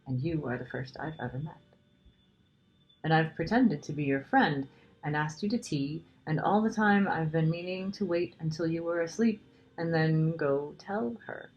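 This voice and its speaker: A woman voicing a gentle, guilt-ridden male faun in a children's storybook, anguished and pleading in a dramatic way. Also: sits low, moderate pace, highs cut off, lightly acted. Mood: tearful, frightened. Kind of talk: children's storybook character reading.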